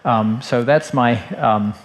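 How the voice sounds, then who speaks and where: An older man from Texas with a Texan accent, introducing himself on a conference panel in a relaxed, wry way with many ums and uhs. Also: mid-range voice, slow. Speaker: panelist, entrepreneur and investor.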